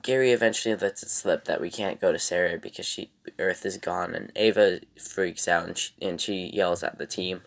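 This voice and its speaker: Low, gravelly-voiced man recapping an episode. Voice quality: raspy. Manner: rambling, halting retelling. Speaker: TV episode reviewer.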